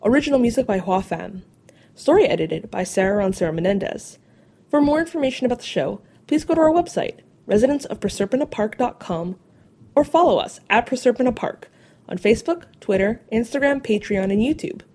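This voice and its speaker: A woman's voice: a little high, animated, brisk, dark tone. A woman reading scripted podcast credits as an announcer.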